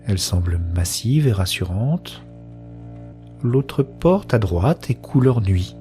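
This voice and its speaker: A man's voice: lowish voice, big pitch swings, slow pace, dark tone. A man playing a wonder-struck woman in a science fiction audio drama, telling her experience in an introspective, descriptive first-person monologue. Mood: hushed, resolute. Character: awestruck woman newly joined to a collective consciousness. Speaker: narrating character in audio fiction. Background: music.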